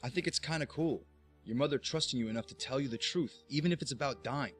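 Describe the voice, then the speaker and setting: A man's voice: medium pitch, quick pace, fairly bright tone, soft background music, close to an everyday voice. An actor playing a defiant young man who talks with a sarcastic edge.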